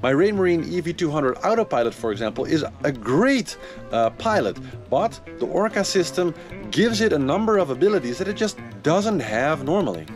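A man's voice: a little high, sing-song, normal speed. Man, thirties, sailing vlogger, enthusiastic, filler-heavy, outdoors on a boat, music underneath. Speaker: sailing vlogger and charter captain. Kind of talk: casual product review. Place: on a sailboat, outdoors.

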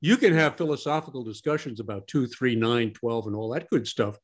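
A man's voice: mid-range voice, a little fast. A man co-hosting a podcast discussion, emphatic and given to repeating key phrases. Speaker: podcast co-host.

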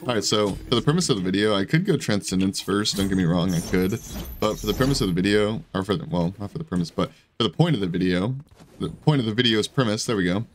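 A man with a hoarse, scratchy voice giving casual, rambling commentary over his own gameplay, full of fillers and restarts. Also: on the low side, quick pace. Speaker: gaming video creator.

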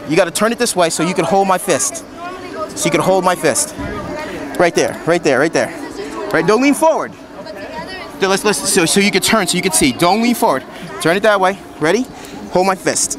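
Casting: male demonstrator, high voice, pushy repeated instructions, recorded amid busy convention crowd. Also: animated, moderate pace, long pauses. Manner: insistent, repeats short commands. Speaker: product demonstrator at a convention booth. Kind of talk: informal hands-on product demonstration. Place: convention booth in large indoor hall. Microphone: camera a few metres away.

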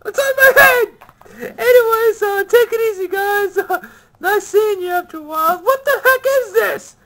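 A man voicing an excitable, boastful little teddy bear who hosts a homemade puppet show. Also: extremely high pitch, normal speed, pitched above the performer's own voice, full character voice. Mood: boastful, playful. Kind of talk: improvised puppet comedy skit. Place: small room at home. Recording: noisy home camera audio.

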